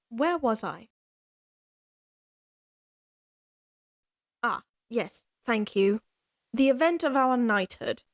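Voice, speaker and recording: Woman acting a proud, high-voiced young lady knight. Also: no room sound, clean studio sound, close to an everyday voice. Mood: composed storytelling. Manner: formal, archaic, dryly haughty phrasing. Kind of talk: fantasy audio roleplay acting addressed to the listener.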